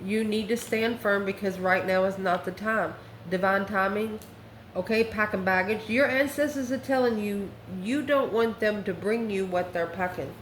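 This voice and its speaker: The recording is echoey, with clear noise behind the voice. A woman speaks at a medium pitch as she hosts a tarot reading. She talks casually in mystical terms and addresses her listener directly and with affection. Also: moderate pace. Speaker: tarot reader, host. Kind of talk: casual spoken tarot card reading to camera. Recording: echoey room, clearly noisy background.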